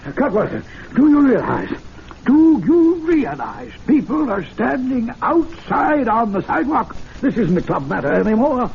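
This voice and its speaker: A man playing a flustered, excitable gentleman club member in a radio drama, with a stammering, agitated delivery. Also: extremely high pitch, unhurried, gravelly, heavily acted. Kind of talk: 1970s radio drama acting. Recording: dull, noisy old radio recording.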